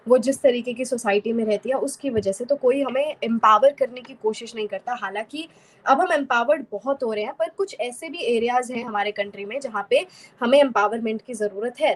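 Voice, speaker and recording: A woman's voice: on the high side, fairly quick, slight background noise. A young woman from Haryana, explaining fluently as an interview guest and mixing in many English words. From Haryana, India. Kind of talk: casual interview conversation.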